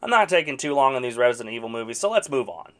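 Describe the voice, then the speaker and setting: Male film reviewer, dry sarcastic humor, very animated intonation, medium pitch. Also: racing. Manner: wry and sarcastic, with mock-exasperated outbursts. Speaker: video game movie reviewer. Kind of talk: scripted casual review voice-over.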